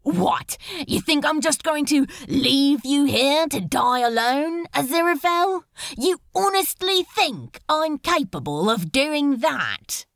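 A woman's voice: sits high, expressive, takes it slowly, creaky, fairly bright tone, no echo, full character voice. A woman voicing a defiant, fiercely devoted male demon in an audiobook, urgent and pleading with emotion. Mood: defiant, insistent. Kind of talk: dramatic fan fiction audiobook dialogue.

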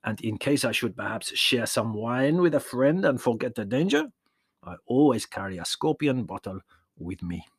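A man voicing a toothless old shepherd who tells gruesome tales slowly and with relish. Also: medium pitch, very animated, slightly rough, no room sound, clean studio sound. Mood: storytelling. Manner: slow, dramatic, relishing gruesome detail. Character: toothless old male village shepherd, gossipy storyteller. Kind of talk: audiobook character voice.

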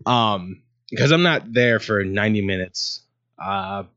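A man's voice: fairly low pitch, unhurried, a bit bright. A man hosting his own sports talk show, rambling casually with many ums and restarts. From Ohio, USA. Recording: dull lo-fi sound.

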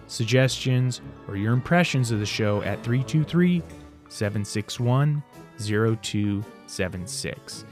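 A man's voice: medium pitch, a little mellow. A man hosting a podcast in a scripted, playfully theatrical narrating style. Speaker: podcast host. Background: music behind the voice.

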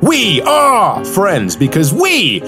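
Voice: medium pitch, wildly swooping pitch, extremely slow, over music. A podcast host in casual chat and poetry reading, talking in a wry, rambling, mock-earnest way.

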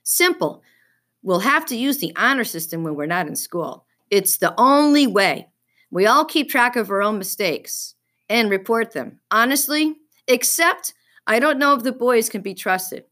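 A woman's voice: fairly high pitch, lively intonation, a little slow, fairly bright tone, voice pushed up. A woman reading a children's story, voicing a stubborn, indignant fifth-grade girl who is snippy and haughty. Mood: challenging.

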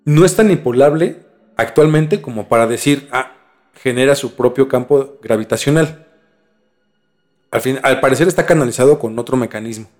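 A man's voice: medium pitch, normal speed, darkish tone. A man with a Mexican accent explaining things in casual conversation as a podcast co-host, informally and repeating words for emphasis.

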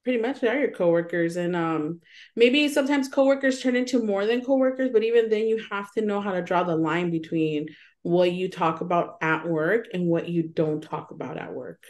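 A woman's voice: fairly low pitch, normal speed. Chatty young woman podcast co-host. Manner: animated, laughs often, acts out quoted voices. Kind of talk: casual chat.

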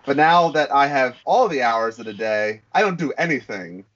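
A man's voice: medium pitch, moderate pace. Laid-back podcast guy, lo-fi. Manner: relaxed, filler-heavy, wry. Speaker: podcast co-host. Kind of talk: casual banter. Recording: dull lo-fi sound.

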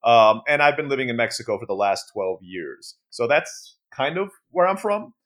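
A man's voice: mid-range voice, big pitch swings, normal speed, a bit bright. A man with an American accent, a native Turkish speaker, chatting as a podcast guest and laughing easily. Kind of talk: casual interview chat.

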